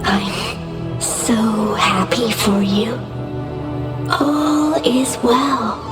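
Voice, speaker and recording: An actress voicing a sweet, innocent, childlike lost soul in a video game, with a halting, wistful manner. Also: female voice, on the high side. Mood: tender, wondering. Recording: distant voice under loud music. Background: loud music.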